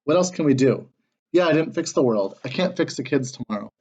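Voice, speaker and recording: A man voicing an earnest, quietly determined young dog spirit in a read-aloud story, speaking sincerely. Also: medium pitch, animated, a little fast, breathy edge. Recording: dull lo-fi sound.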